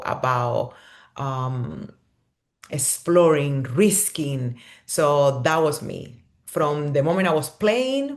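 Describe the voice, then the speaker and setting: A woman from Cuba with a Cuban Spanish accent, a podcast guest telling her story in an expressive, animated way and laughing often. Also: low-pitched. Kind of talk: podcast interview.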